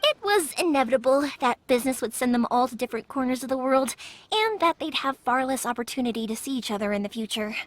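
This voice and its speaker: An actress playing a cheerful young woman who makes fireworks, bubbly and quick to laugh. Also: high voice, lively intonation. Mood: storytelling.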